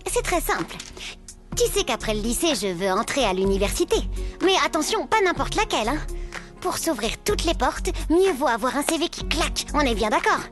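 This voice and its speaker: A high, youthful schoolgirl voice for a cartoon. She is chatty, earnest and self-dramatizing, stressing her points in casual speech. Music plays clearly behind her. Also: sing-song, a little fast. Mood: earnest, insistent. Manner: talkative, emphatic, colloquial. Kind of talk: animated series voice acting.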